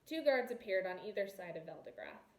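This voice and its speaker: A woman reading a novel aloud as an expressive scripted narration, recorded in an echoey room. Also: medium pitch, brisk. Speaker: audiobook reader.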